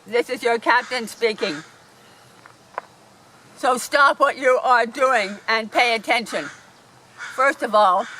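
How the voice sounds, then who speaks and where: An actor plays a pompous alien starship captain, bitter and full of contempt. The voice is sharp and forceful, issuing curt radio-play announcements over a clearly noisy recording. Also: medium pitch. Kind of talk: amateur radio play acting.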